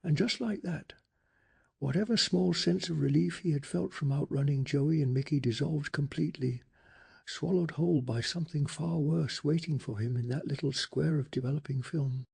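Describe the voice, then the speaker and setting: Breathy, soft-spoken male storyteller. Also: a little high, normal speed. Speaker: ASMR storyteller. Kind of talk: soft-spoken ASMR bedtime story narration.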